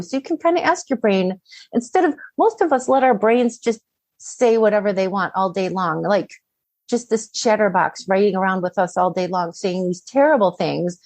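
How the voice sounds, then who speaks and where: A woman's voice: medium pitch, darkish tone, dry recording. Middle-aged woman life coach chatting advice, asks lots of questions, dry close sound. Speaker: coach, podcast guest.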